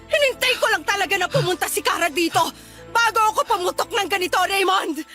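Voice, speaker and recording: Shrill, furious betrayed wife. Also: female voice, lively intonation, fast talker, faint music behind, exaggerated voice. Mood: hurt, tearful rage. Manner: shouting, accusing, sarcastic. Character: furious betrayed wife and older sister, lashing out. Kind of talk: radio melodrama acting.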